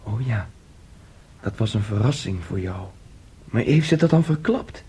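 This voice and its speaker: An actor in a Dutch radio play playing a gentle, childlike, dreamy young man with a soft, breathy voice and naive manner. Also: fairly low pitch, expressive, unhurried, full character voice. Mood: earnest. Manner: boyish, gentle, naively imaginative. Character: Thomas, soft, childlike, dreamy, easily swayed young brother.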